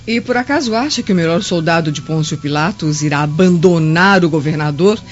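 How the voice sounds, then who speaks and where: An actress playing a sharp-tongued, scheming Roman wife in a radio drama, sarcastic and theatrical. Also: female voice, sits low, brisk, soft background music, close to an everyday voice. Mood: scornful. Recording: dull lo-fi recording.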